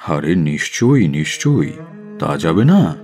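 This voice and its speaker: An actor playing a reassuring doctor in a radio drama, emphatic and overly soothing. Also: male voice, rumbling low, very animated, measured pace, a little mellow, faint music behind, heavily acted.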